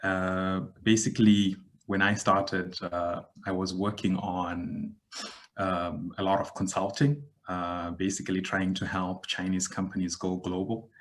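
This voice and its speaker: A man in his thirties from Zimbabwe, a podcast guest giving a measured, reflective account with frequent pauses. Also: low voice, little pitch movement, a little hiss or hum. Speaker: guest, digital transformation expert. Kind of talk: podcast interview answer.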